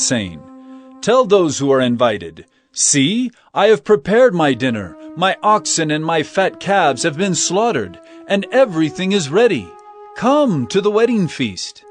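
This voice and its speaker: Jesus, authoritative teacher, solemn, lively intonation, faint music underneath. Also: male voice, a little high, a little slow. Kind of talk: dramatized scripture reading.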